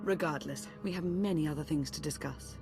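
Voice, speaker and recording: An actress playing a composed, analytical female strategist in a video game, formal and measured as she explains. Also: sits low, over music, natural voice. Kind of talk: dramatic video game acting.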